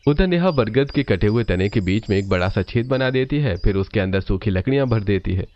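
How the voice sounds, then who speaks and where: एक आदमी जो एक कहानी को स्थिर, सधी हुई आवाज़ में सुनाता है।. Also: male voice, lowish voice, animated, brisk, a little mellow, dull audio. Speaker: narrator. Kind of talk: scripted story narration.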